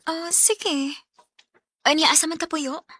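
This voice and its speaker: A woman's voice: sits high, steady tone, slow pace, sharp tone, dry recording, exaggerated voice. An actress playing a young live-in girlfriend, evasive and easily irritated, with a Cebuano Filipino accent in a radio drama. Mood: coy. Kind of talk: Bisaya radio drama acting.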